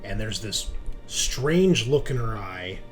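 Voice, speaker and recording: A man working as game master, narrating a roleplaying game in an informal, halting way with some swearing. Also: fairly low pitch, animated, faint music behind.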